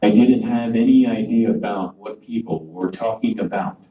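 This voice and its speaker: A synthetic male voice from a speech device, pitched a little low with a mellow, rounded tone. It delivers a prepared conference talk in measured, formal sentences. The sound carries through a roomy hall, picked up from some distance. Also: fairly even intonation. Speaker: conference speaker.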